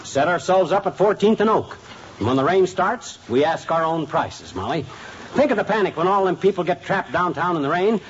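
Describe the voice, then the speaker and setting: An actor playing a boastful, scheming husband in a 1950s radio sitcom, brash and excitable. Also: male voice, high-pitched, fairly quick, a little hiss or hum. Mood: excited. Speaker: lead comic. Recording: dull 1950s radio broadcast, studio audience.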